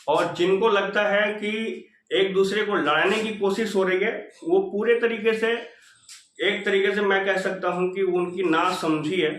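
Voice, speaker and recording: High-pitched man exhorting listeners, echoey room. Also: fairly bright tone. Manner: earnest, exhorting, repetitive. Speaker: channel host addressing exam candidates. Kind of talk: informal motivational update talk. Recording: roomy, echoing sound.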